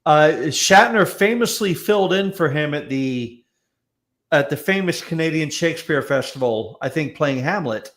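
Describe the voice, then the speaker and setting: A man's voice: fairly high pitch, animated, moderate pace, a bit bright. A man speaking casually as a guest on a chat show. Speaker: guest on a talk show, comedy writer.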